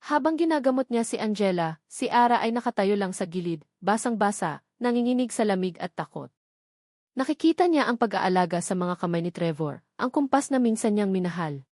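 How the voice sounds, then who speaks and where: A woman narrates a dramatic love story from a script. Her voice is mid-pitched, and the recording is dry, with no room sound. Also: a little mellow, no echo.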